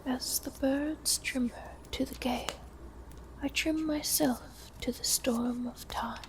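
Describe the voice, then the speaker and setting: A woman playing an elegant, lonely vampire countess in an ASMR roleplay, with a soft, breathy whisper and a formal, genteel manner. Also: high-pitched, fairly even intonation, takes it slowly, poor audio. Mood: hushed, reciting. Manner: formal, genteel, old-fashioned phrasing. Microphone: close binaural microphone. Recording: close binaural whisper with audible hiss.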